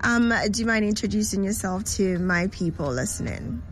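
A woman's voice: mid-range voice, normal speed, faint music behind. A woman hosting a live radio show from her studio, chatty and bubbly, laughing often as she interviews guests.

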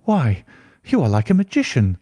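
A man voicing an earnest, anxious young gentlewoman in an audiobook, polite, pleading and formal. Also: medium pitch, wildly swooping pitch, moderate pace, slightly rough, no room sound, voice pushed up. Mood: eager, uneasy. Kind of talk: audiobook character voice.

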